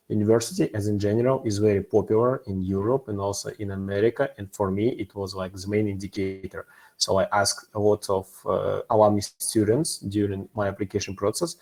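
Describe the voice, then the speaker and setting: A man's voice: lowish voice, mellow. A young man from Kazakhstan speaking non-native English, giving an informal testimonial as a student ambassador. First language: not English. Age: young adult.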